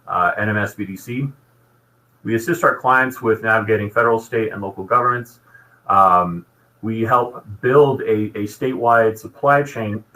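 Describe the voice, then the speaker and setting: A man's voice: lowish voice, pushed and bright, low-quality recording. A man giving an informational presentation about his program in an explanatory, businesslike manner.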